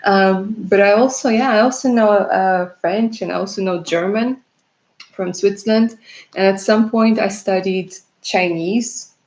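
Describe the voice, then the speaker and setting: A woman's voice: medium pitch, measured pace, darkish tone. A Russian-born woman, a native Russian speaker, who works as a tarot reader and chats with viewers on a live stream. She laughs often and calls them darling.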